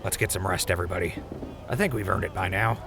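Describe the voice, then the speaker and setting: A man playing a weary, gruff wasteland traveler with a gravelly voice in an audio drama. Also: low voice, quick pace, background noise. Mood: weary relief.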